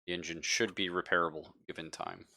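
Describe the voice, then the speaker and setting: A man's voice: deep voice, flat delivery, brisk, creaky, bright, forceful tone. A man improvising as game master of a tabletop roleplaying game, thinking aloud with some hesitation.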